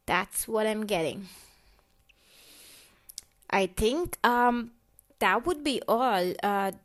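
A woman's voice: medium pitch, animated, extremely slow. A woman telling an embarrassed comic story, hesitant and full of fillers and restarts. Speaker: guest storyteller. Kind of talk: confessional comic monologue.

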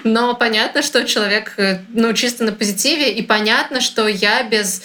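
A young woman from Russia chatting casually as a guest, lively and informal with plenty of slang. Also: a little high, moderate pace. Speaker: guest, content creator. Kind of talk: casual conversation.

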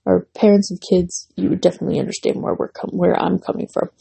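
This is a young woman hosting her own casual podcast, drifting from thought to thought with lots of fillers. Her voice is husky and dark, with a gravelly edge, and she sounds stuffy and worn, as though she's losing it. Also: lowish voice, level tone, fast talker. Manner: rambling, lots of fillers and restarts. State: losing her voice, runny nose. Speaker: podcast host. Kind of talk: casual solo podcast chat.

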